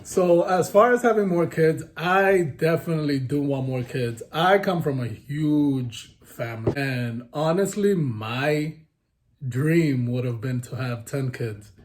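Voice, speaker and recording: A man's voice: a little high, lively intonation, unhurried. A young man co-hosting a couple's Q&A vlog, teasing his partner and reading out the questions. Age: young adult. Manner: teasing, reads questions aloud. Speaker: vlogger, co-host. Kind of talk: casual couple Q&A chat.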